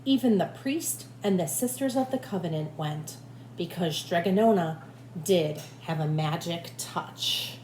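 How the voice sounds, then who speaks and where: Slightly low-voiced woman reading aloud, echoey room. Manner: expressive, deliberate phrasing for children. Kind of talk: storybook read-aloud narration. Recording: echoey room, faint background noise.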